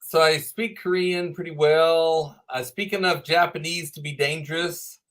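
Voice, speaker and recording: Texan man in his fifties, bright, higher voice, animated storyteller, laughs often. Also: measured pace. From Texas. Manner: animated, laughs while telling stories. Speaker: podcast guest. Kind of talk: storytelling interview chat.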